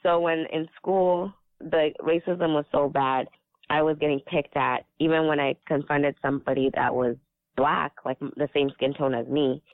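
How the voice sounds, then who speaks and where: Man whose first language was swahili, gravelly voice, dry tinny phone-ish sound. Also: fairly high pitch, fairly even intonation, moderate pace, dry recording. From Africa. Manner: reflective, earnest storytelling. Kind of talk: casual chat.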